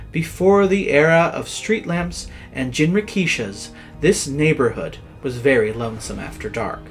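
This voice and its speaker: A man narrating horror stories as host, in a dramatic, theatrical scripted style. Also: on the high side.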